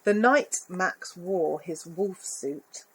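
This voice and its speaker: A woman reading a picture book aloud to children, expressive, with little asides about the pictures. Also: fairly low pitch, slow, slight background noise, poor audio. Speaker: volunteer story reader.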